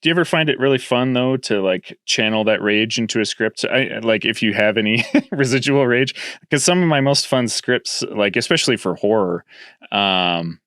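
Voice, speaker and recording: A man's voice: mid-range voice, normal speed, fairly bright tone, no echo, studio quality. A man in his late thirties hosting a podcast, asking long, winding questions full of restarts. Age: 30s. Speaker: podcast host. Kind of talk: casual podcast interview.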